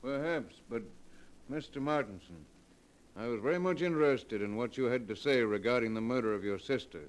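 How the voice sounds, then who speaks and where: An actor playing a calm, exacting county coroner in a vintage radio drama, speaking deliberately. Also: male voice, medium pitch, natural voice. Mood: measured. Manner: deliberate, measured. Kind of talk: 1940s radio acting.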